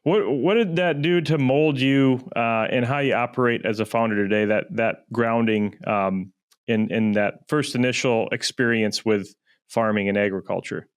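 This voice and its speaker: A man hosting a podcast, asking relaxed, friendly interview questions. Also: mid-range voice, normal speed, clear, resonant tone. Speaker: podcast host.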